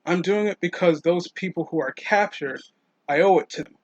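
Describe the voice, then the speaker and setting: A man acts a distrustful ex-prisoner in a tabletop game, blunt and deliberate as he confronts people. The voice sits fairly high for a man and sounds slightly dull and dry, like voice chat. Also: dry recording. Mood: defiant, resentful. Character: blunt, distrustful big male ex-prisoner with grudging honour.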